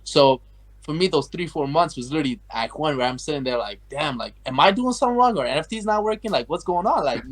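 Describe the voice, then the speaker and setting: A man's voice: fairly high pitch, animated, quick pace. A young man from Afghanistan, a guest artist chatting casually and rambling, with lots of filler words as he tells his story. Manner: rambling, heavy use of like and you know. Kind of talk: casual interview chat.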